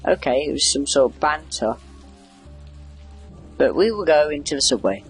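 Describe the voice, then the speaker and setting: Male let's-play commentator, slightly high husky voice, wry off-the-cuff chatter over soft background music. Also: animated, moderate pace, rough recording. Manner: wry, chatty, reacting aloud to the game. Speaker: gamer doing a video game playthrough. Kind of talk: casual rambling game commentary.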